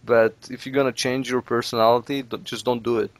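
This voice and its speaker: A man whose first language is Russian talks earnestly about making gaming videos, dropping "you know" as he goes. His voice sits at an ordinary middle pitch. The recording is dry and close, with no room sound at all. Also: little pitch movement, brisk, no room sound. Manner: earnest, advice-giving, peppered with "you know". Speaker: Let's Play video maker. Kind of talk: casual podcast interview.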